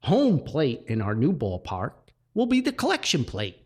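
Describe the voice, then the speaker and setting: A man voicing an excitable, long-winded forty-year-old church committee member in a comic story. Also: mid-range voice, normal speed, breathy edge. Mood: zealous, eager. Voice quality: whistling speech through gapped teeth.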